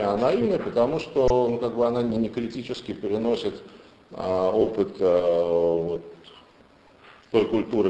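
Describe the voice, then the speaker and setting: Man, academic lecture, animated rising and falling voice, mellow tone, thinking aloud with restarts. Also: medium pitch. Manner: thinking aloud, many fillers and restarts, emphatic rises. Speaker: lecturer on philosophy of language. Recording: roomy echo.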